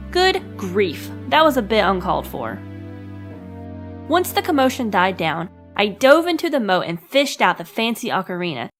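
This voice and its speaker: A young woman hosts a comedic gaming series in a scripted, diary-style narration. Her delivery is sarcastic and emphatic, with lively swings in pitch around an average register. Music plays behind her voice.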